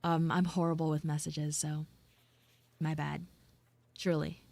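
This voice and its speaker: A woman livestreamer chatting casually and haltingly with her live viewers, in an informal way, close on the mic. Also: sits low, level tone, creaky, a little mellow. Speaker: livestreamer and VTuber host.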